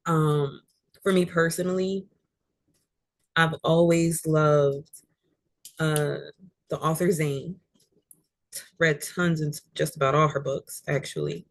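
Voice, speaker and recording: A woman with a deep voice, an author chatting as a guest about how she uses her voice, talking thoughtfully with frequent fillers. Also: fairly even intonation, slow pace, pauses often, no room sound. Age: adult. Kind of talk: casual chat about voice and reading.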